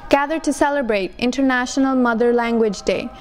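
A woman gives a scripted documentary voice-over in a measured, even delivery. Her voice sits a little high and reads clearly, like a studio narration laid over event footage.

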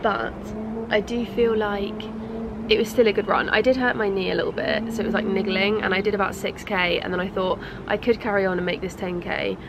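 A woman's voice: medium pitch, steady tone, a little fast, background noise. A young British woman with a congested voice chatting casually and informally to camera in a rambling way. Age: young adult. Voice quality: stuffy, congested. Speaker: lifestyle vlogger. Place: outdoor balcony.

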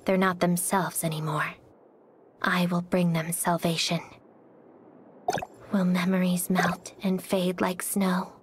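A woman voicing a cold, reserved, melancholy young swordswoman for a video game, speaking softly and breathily in a quiet, detached, formal manner. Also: fairly low pitch, little pitch movement, slow, long pauses, background noise. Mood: wistful. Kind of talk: scripted game character voice lines.

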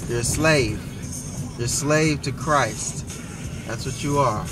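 A young man driving and talking into his phone, preaching earnestly about faith with pauses and restarts. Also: medium pitch. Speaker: vlogger. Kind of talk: casual preaching while driving. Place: inside a moving car. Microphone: phone held or mounted on the dashboard. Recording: phone recording with loud music over it. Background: loud music playing in the car.

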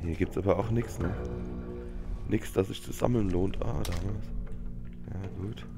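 Young man with a cold, creaky low voice. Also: moderate pace. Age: young adult. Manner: slangy, muttering, swearing. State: sick with a cold. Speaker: let's player hosting a gameplay series. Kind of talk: casual gaming commentary. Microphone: headset microphone. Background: game music and gunfire.

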